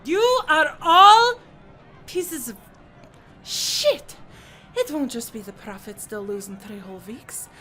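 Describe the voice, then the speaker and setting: A woman plays a blunt, fiery alien union worker in an audio drama. Her voice is highish, sharp-edged and very animated, with faint music behind it. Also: slow pace, long pauses, exaggerated voice. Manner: blunt, excitable. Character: fiery female alien salt hog union striker.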